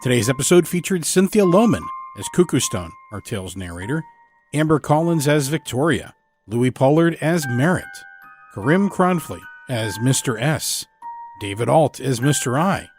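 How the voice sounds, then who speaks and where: A man who produces the show reading scripted podcast credits as an announcer. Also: mid-range voice.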